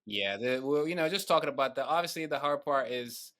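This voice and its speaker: A man hosting a casual podcast, animated and repeating his words as he talks. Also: medium pitch, brisk. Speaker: podcast host.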